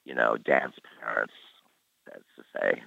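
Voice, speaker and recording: A man's voice: rumbling low, measured pace, creaky, sharp tone, dry recording. A man in his forties who grew up in Canada, reflecting easily on his dance career as an interview guest. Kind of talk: casual interview talk. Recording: dry, dull lo-fi recording.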